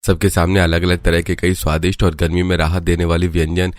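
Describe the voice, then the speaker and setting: A man's voice: sits low, level tone, brisk, no room sound, studio quality. A man narrating a scripted family story as its storyteller.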